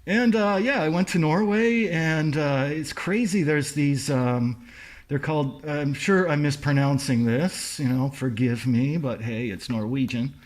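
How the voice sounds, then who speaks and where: A man chatting casually as a podcast host, telling stories in an animated way. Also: fairly high pitch, measured pace. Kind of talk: casual chat.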